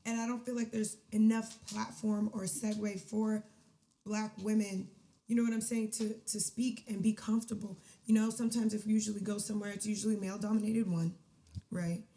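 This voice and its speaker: Outgoing woman, warm mellow voice. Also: mid-range voice, brisk. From United States, DMV area. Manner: outgoing, animated storytelling with frequent "right?"; laughs often. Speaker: podcast guest. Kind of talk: casual chat.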